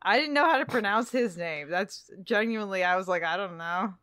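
A woman's voice: medium pitch, animated, a little fast, a bit bright, clear, resonant tone, no echo. A woman co-hosting a podcast, chatting casually and playfully about books. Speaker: podcast co-host. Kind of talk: casual, playful book discussion.

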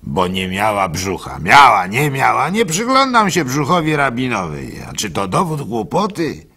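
Radio drama lead: good-natured gullible fool, husky and bright-edged, halting storyteller. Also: male voice, mid-range voice, wildly swooping pitch, heavily acted. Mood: rueful, bemused. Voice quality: husky, gravelly. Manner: halting, stammering repeats, chuckles, acts out hecklers. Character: gullible male village simpleton and orphan, good-natured, telling his story. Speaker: actor narrating a monologue.

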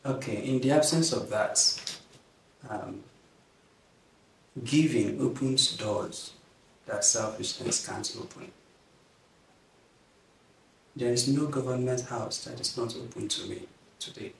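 A man's voice: medium pitch, gravelly. Earnest man teaching in an echoey room. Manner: earnest, drawn-out emphasis, gracious thanks. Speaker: class instructor. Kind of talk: online class leadership teaching. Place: plain indoor studio backdrop. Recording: echoey, somewhat distant mic, faint noise.

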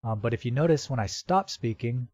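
A man presenting a casual software tutorial in a halting, step-by-step way, as if thinking aloud. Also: mid-range voice, expressive, dry recording. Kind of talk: casual screen-recorded tutorial narration. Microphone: computer microphone with real-time noise removal.

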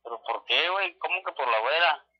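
Over a dull telephone line, a man speaks in a somewhat high voice with a northern Mexican accent. His talk is casual and slangy. He hedges and sidesteps rather than answering straight. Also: animated, fairly quick, slightly rough. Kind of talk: casual slangy phone conversation. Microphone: telephone call.

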